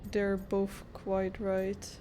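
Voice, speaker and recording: A woman's voice: mid-range voice, monotone, very slow, warm tone, slight background noise. A woman giving casual commentary on a video game she is playing, musing informally as she goes. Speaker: gamer commenting on a playthrough.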